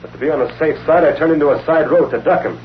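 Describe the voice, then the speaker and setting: An actor playing a cool, calculating criminal chemist in an old-time radio crime drama. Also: male voice, fairly high pitch, rapid-fire, faint music behind, natural voice. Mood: cool, scheming. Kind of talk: 1930s-40s radio crime drama acting. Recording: muffled, hissy old radio recording.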